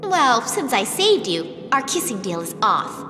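A woman's voice: a little high, very animated, a little slow, reverberant, big-room sound, music in the background. A woman voicing a young, girlish fairy royal, earnest and petulant, in a fantasy video game.

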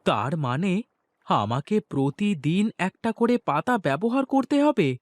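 A man voicing a humble, excitable village boatman in a fairy-tale cartoon, earnest and expressive. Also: a little high, a little slow, dry recording. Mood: amazed, hopeful.

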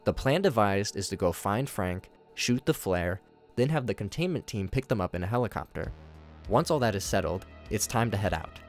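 A man's voice: lowish voice, lively intonation, normal speed, soft background music. A man giving a scripted narration that recaps horror footage in a casual, wry way. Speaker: narrator of a video commentary.

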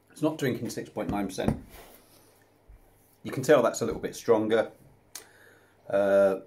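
Chatty man, mellow voice. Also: medium pitch, a little hiss or hum. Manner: chatty, self-deprecating, plain-spoken. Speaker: beer reviewer. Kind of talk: casual beer review to camera. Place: small room at home, indoors. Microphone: camera close up at desk level.

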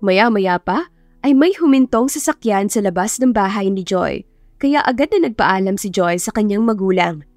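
A woman narrates a scripted romance as an audiobook reader. Her voice is mid-pitched and recorded dry and close, with music playing underneath. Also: animated, normal speed, a little mellow, no room sound.

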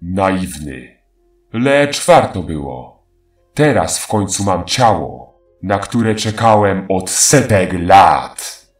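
An ancient faceless demon speaks in a lowish voice with heavy echo. He is mocking, menacing and theatrical, as in a horror audio drama, drawing out his words over faint music. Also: very animated, slow, heavily acted. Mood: taunting.

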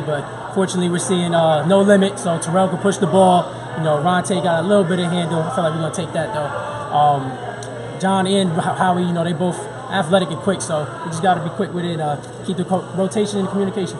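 Fast-talking young male basketball player. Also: a little high, fairly even intonation. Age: young adult. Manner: slangy, informal. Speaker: basketball player being interviewed. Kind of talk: casual postgame interview. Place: large indoor basketball gym. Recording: gym with clear crowd noise behind.

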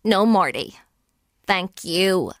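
A woman's voice: medium pitch, expressive, takes it slowly. A woman playing a confident, outspoken female film director who guides others in a radio drama, assured and blunt. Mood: gentle, encouraging.

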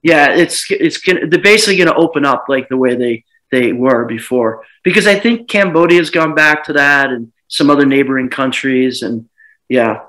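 A man in his thirties from the United States, chatting on a video call about selling his home, rambling with lots of restarts and animated bursts. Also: mid-range voice, normal speed, low-quality recording. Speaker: home seller. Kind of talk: casual video call chat. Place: dim living room with sofa. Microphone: laptop webcam on video call.

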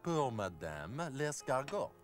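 Male waiter, animated series. Ceremonious, drawn-out, melodic delivery. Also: medium pitch, faint music behind. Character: formal French male waiter announcing dishes.

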